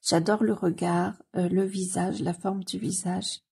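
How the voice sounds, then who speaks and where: A woman with a cold gives casual, gushing commentary as she leafs through a book, often pausing on fillers and repeating her delight. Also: on the low side, little pitch movement, creaky, darkish tone, slightly rough. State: has a cold. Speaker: coloring book YouTuber.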